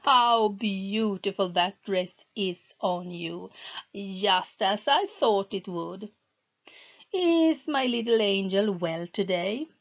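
A possessive, volatile husband in a storybook, condescending and sweet-talking toward his wife, read by a woman in close to her own voice. He speaks slowly, and the recording is dull and lo-fi. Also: mid-range voice, lively intonation. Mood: doting.

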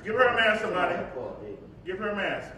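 A man's voice: high voice, fast talker, far from the mic. A man giving casual, bossy instructions to a group, repeating himself as he organizes them. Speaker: organizer directing a group photo. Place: large hall, away from the mic. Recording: very echoey hall from afar, crowd.

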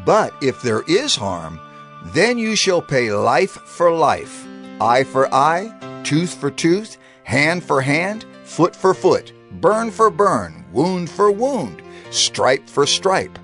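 A man reads scripture in a solemn, measured way, his pitch rising and falling freely. He slows down to stress key phrases. Faint music plays beneath his voice. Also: fairly bright tone. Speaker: scripture reader. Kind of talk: dramatized scripture reading.

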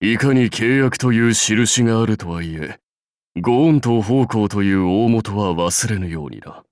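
Samurai warlord played by a man, game role: commanding, dignified, archaic diction, unhurried delivery, slightly low register. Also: a little mellow, no echo, clean studio sound, natural voice. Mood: lordly, instructive. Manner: stately, archaic lordly speech. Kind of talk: Japanese mobile game character voice lines.

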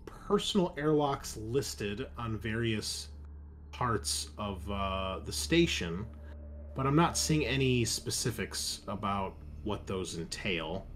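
A man's voice: on the low side, expressive, slow pace, a bit bright. Blunt man running a game, noisy background. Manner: blunt, joking, swears casually. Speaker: game master and host. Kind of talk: casual tabletop game chat.

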